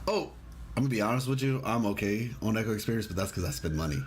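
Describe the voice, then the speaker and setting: A man's voice: lowish voice, animated, fast. A man hosting a casual livestream, rambling and repeating himself as he reads and answers chat comments. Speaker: livestream host. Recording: clearly noisy background ambience.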